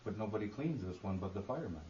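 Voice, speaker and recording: A man's voice: fairly low pitch, animated, quick pace, mellow. A man debating bluntly and with some challenge at a public meeting in a hall. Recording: distant, muffled, echoey room with hiss.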